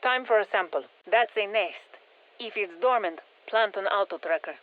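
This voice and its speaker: An actress playing a brisk, focused female military scientist giving clipped mission instructions over a radio in a video game. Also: medium pitch. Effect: radio filter. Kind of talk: scripted game mission briefing. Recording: tinny band-limited radio transmission.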